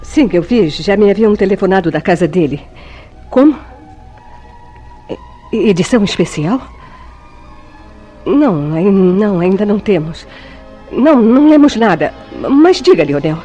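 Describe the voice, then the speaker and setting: A woman's voice: mid-range voice, expressive, a little slow, long pauses, mellow, faint music behind, lightly acted. An actress playing a distraught, devoted woman in a Brazilian radio soap, with formal diction and pleading drama. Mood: anxious, tense. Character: distraught, devoted woman shielding her guilty lover. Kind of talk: melodramatic radio soap acting.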